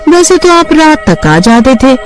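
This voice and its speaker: Woman doing a puzzled cartoon village wife, exaggerated questions, slowish, bit of music. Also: sits high, sing-song, voice pushed up. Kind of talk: cartoon story character voice. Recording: music behind the voice.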